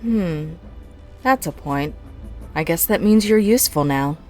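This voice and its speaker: Woman acting as a dry, teasing space pilot, lowish voice, faint music behind. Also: lively intonation, measured pace, a little mellow. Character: teasing sardonic female starfighter pilot. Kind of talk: fan audio drama acting.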